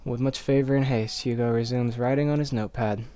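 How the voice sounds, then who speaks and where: A man with an average-pitched voice reads a story aloud. He narrates expressively, like someone sharing a visual novel with viewers, and can slip into casual commentary. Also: fairly even intonation, a little fast, mellow, clean tone.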